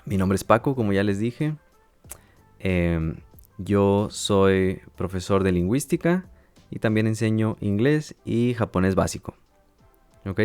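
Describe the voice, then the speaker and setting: Patient male language teacher, lowish voice, dry close mic, soft music bed underneath. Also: smooth, dry recording. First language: Spanish. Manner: calm, measured, with teacherly check-ins and light laughs. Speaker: language teacher and podcast host. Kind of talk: educational podcast monologue.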